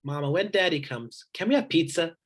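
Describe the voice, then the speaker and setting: A woman's voice: low-pitched, animated, fairly quick. A woman playing a hungry, pleading little boy in a script read-through, whining and begging. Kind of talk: rehearsed table read of a screenplay.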